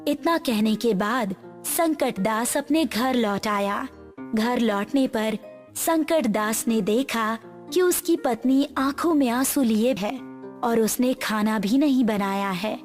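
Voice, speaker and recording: A woman's voice: a little high, takes it slowly, faint music behind. A woman narrating a scripted moral story as a storyteller.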